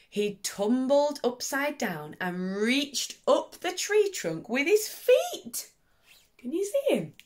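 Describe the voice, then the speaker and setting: A woman primary teacher reading a picture book to young children, expressively and with questioning asides to them. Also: a little high. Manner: animated, asks tag questions, child-directed.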